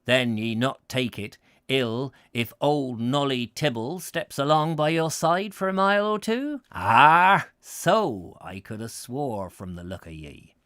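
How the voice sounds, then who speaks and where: A man voicing a chatty old male pedlar who talks in a slow, folksy, old-fashioned rural dialect. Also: medium pitch, expressive, fairly bright tone, no room sound, studio quality. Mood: hearty.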